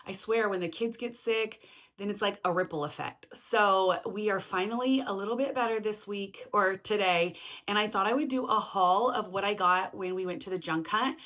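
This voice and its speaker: A woman hosting a casual home vlog, chatty and upbeat with lots of "like" fillers, her voice slightly hoarse from being sick. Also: medium pitch, normal speed, a bit bright. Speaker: vlog host.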